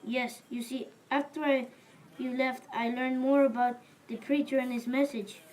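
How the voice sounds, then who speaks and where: A woman's voice: high-pitched, flat delivery, moderate pace, darkish tone, natural voice, rough recording. A woman playing a helpful, courteous male merchant in an amateur biblical audio drama. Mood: earnest, informative.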